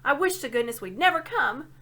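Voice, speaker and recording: A woman's voice: sits high, animated, fairly quick, pushed and bright, voice pushed up, exaggerated voice. Woman voicing a cross, sulky schoolboy. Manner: grumbling, snappish.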